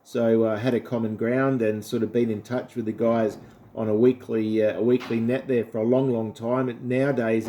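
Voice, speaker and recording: A man's voice: medium pitch, warm tone, a little hiss or hum. An Australian man talking casually and politely as an amateur radio operator. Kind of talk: casual ham radio chat.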